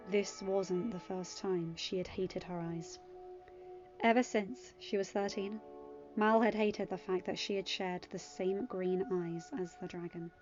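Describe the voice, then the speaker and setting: A woman's voice: medium pitch, darkish tone, lo-fi sound. Woman narrating a story over music. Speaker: fan fiction narrator. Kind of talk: scripted audiobook narration.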